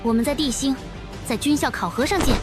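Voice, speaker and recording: A woman's voice: high voice, brisk, natural voice. A young actress playing an earnest, spirited young woman from a family of mechanics, over background music. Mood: urgent. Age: young adult. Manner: earnest, urgent. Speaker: heroine. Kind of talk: animated drama dubbing.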